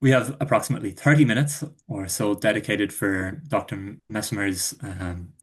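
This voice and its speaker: Low-voiced man opening a session. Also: steady tone, moderate pace, slightly rough. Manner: polite, formal housekeeping. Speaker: host. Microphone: video call.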